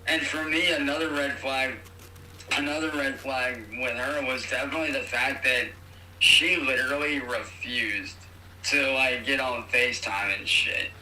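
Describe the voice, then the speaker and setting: A man's voice: mid-range voice, steady tone, a little slow, sharp tone. A young man chatting casually and slangily on an echoey, distant, noisy phone recording. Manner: slangy, swearing. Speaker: caller.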